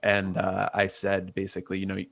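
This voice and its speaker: A man's voice: low voice, monotone, fairly quick, creaky, fairly bright tone, no echo, studio quality. A man, a guest in a casual interview, thinking aloud and weighing ideas from many angles. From Port Chester, New York. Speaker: guest, artist and designer.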